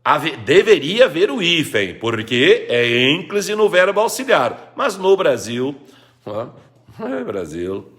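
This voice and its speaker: A man's voice: on the high side, sing-song, takes it slowly, fairly bright tone. A man with a Brazilian Portuguese accent, teaching grammar in a live lesson, reading out viewers' questions and restating rules with emphasis. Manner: explains patiently, reads viewers' questions, repeats rules emphatically.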